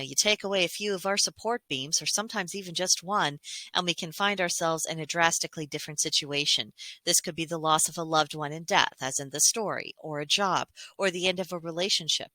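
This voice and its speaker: A woman host giving reflective commentary in a measured, articulate and explanatory way. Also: deep voice, bright, forceful tone, dry recording. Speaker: host of a fairy tale commentary series.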